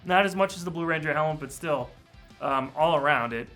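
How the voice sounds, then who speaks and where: A man's voice: a little high, fairly quick, fairly bright tone, soft background music. A man giving a casual, candid product review in a mildly grumbling manner. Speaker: toy reviewer. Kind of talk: casual unboxing and review talk.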